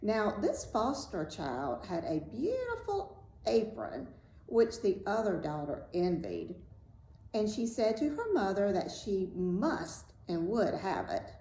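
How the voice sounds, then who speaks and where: Slow, expressive woman storyteller in echoey room. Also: medium pitch. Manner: deliberate, dramatic. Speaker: storyteller, host. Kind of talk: expressive fairy-tale read-aloud. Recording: roomy echo, faint background noise.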